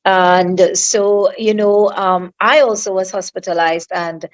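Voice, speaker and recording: A woman singer from Trinidad with a Trinidadian accent, answering interview questions over a remote call in a soft, halting way with many fillers and restarts. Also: medium pitch, steady tone, rough recording. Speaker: singer guest.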